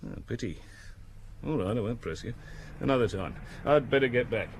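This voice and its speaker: An actor playing an affable, obliging garage owner in an audio drama, with a familiar, slightly hesitant politeness. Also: male voice, mid-range voice, animated, normal speed, creaky, a little hiss or hum.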